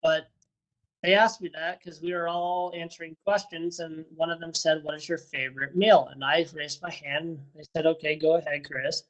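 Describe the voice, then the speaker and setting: A man's voice: fairly high pitch, fairly even intonation, normal speed, a bit bright, dull audio. Friendly rambling man on a video call. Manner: rambling, repeats and restarts words, friendly. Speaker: interviewee, first responder and dog handler. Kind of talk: casual interview answers.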